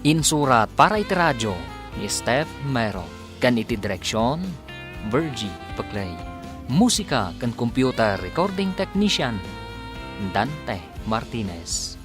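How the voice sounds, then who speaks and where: A man's voice: medium pitch, big pitch swings, measured pace, over music. A man with an Ilocano Filipino accent narrating a radio serial in a dramatic announcer style.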